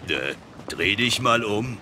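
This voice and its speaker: A man's voice: fairly low pitch, expressive, slow pace, very noisy. An actor playing a sceptical, wary henchman in a gang of robbers in a children's radio play. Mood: doubtful.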